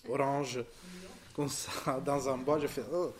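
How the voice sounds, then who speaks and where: A man's voice: medium pitch, fairly even intonation, takes it slowly. Hesitant Italian-accented man. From southern Italy. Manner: hesitant, searching for words, many restarts. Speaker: visitor discussing an exhibition. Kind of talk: casual conversation.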